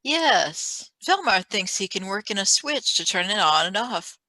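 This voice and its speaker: Actress as cool scheming lady lab assistant, crisp bright voice, mid pitch, radio play. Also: very animated, a little slow, no echo, full character voice, low-quality recording. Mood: conspiratorial. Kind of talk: radio play script reading.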